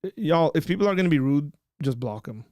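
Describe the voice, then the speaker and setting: A man hosting a casual livestream, chatting loosely and often trailing off into a quiet mumble. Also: a little high, quick pace, no room sound, studio quality. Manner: relaxed, rambling, trailing off quietly. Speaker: livestream host.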